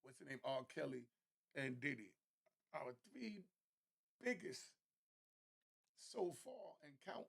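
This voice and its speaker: A man with a noticeably gravelly voice offers casual, opinionated commentary. He speaks emphatically, circles back to repeat his points and drops in street slang. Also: a little high, sing-song, slow pace, pauses often, fairly bright tone. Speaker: commentator reacting to a news clip.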